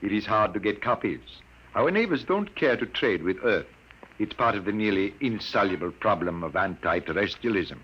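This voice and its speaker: A man's voice: on the low side, animated, moderate pace, slight background noise, close to an everyday voice. A man acting a grave, troubled scientist of sixty-two in a 1950s radio drama. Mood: courteous. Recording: muffled old radio broadcast.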